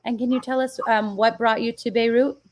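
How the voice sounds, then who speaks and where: A woman's voice: fairly high pitch, steady tone, brisk. A woman co-hosting a podcast panel, introducing guests with polite, brief prompts. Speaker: podcast co-host, moderator. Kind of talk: moderating a panel discussion.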